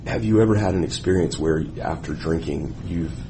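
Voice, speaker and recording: A man working as a police investigator, questioning someone in a methodical, halting and deliberate way. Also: low-pitched, mellow. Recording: noisy interview room recording.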